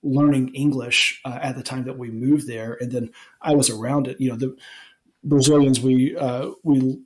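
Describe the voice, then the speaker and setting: A man in his forties from Alabama, the usual host, answering interview questions in a relaxed, rambling way with many restarts. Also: medium pitch, normal speed. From Alabama, United States. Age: 40s. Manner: rambling, many ums and restarts, laughs easily. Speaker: podcast host being interviewed. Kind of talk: casual interview chat.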